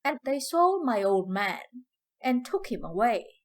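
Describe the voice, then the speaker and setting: Casting: female reader as older Southern US freedwoman, higher-pitched, unhurried, proud and fiery, emphatic dramatic storybook dialect. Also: very animated. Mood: grieving.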